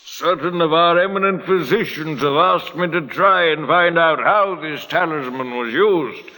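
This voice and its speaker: A stern chief justice in an old radio play. His formal, commanding voice is slightly high and forceful, ringing with long reverb. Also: unhurried. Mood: stern, measured. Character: stern, authoritative Lord Chief Justice presiding over trial. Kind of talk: 1940s radio drama acting. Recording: old radio recording, reverberant courtroom sound.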